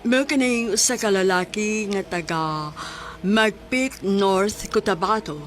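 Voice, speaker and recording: A woman announcing and introducing a radio drama in a formal, measured way. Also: mid-range voice. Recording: announcement over music.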